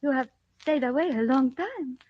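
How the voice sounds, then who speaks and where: A woman's voice: sits high, animated, normal speed, slight background noise. A woman playing a sweet young rancher's daughter in an old radio drama, exclamatory and girlish. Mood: shy, happy. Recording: muffled old radio recording.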